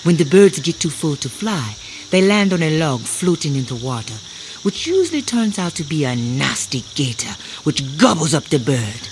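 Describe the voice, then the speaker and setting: Sly, lilting swamp-bar waitress, crickets around. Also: female voice, low-pitched, big pitch swings, normal speed. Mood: grimly relishing. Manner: taunting, sardonic, playful. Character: sly, teasing waitress who runs a swamp clam bar. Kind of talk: audio drama acting. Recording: clearly noisy outdoor night ambience with insects. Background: crickets and insects.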